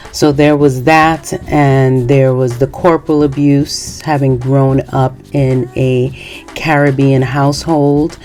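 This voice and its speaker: A woman podcast host, born in Haiti and raised in New York, giving a measured, earnest personal monologue. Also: extremely low pitch, dark tone.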